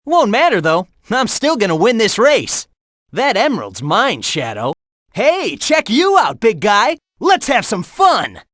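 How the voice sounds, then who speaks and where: Brash game hedgehog hero, teasing and coaching his rival, animated delivery, dry close booth sound. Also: high voice, measured pace, no room sound, heavily acted. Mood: cocky teasing. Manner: cocky, teasing banter; urgent warnings and coaching. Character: cocky young male hedgehog hero, fast and teasing. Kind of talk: video game voice acting.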